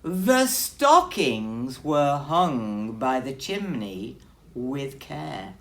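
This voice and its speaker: A woman voicing a proud, easily flustered male bear in a children's storybook, booming and emphatic. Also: sing-song, drawn-out words, fairly bright tone, full character voice. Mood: reciting grandly. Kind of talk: children's storybook character reading.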